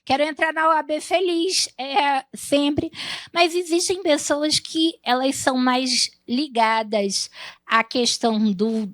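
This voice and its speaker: A woman's voice: high voice, slow, fairly bright tone, dry recording. A woman with an Amazonas accent hosting an interview, playful and teasing, cutting in often. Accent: Amazonas Brazilian Portuguese. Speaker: talk show host. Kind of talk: interview chat.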